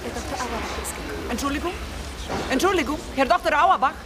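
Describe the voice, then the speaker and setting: Very high-voiced, pleading male petitioner. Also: expressive, fast. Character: anxious, pleading male petitioner waiting in a crowd. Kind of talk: radio play dialogue. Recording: loud crowd and ambient noise.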